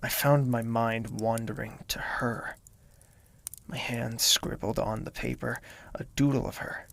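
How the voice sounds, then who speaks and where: A man voicing a brooding, lonely young royal, narrating his thoughts in first person in an audio drama, quiet and sometimes whispering. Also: mid-range voice, steady tone, a little slow, gravelly. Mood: wistful.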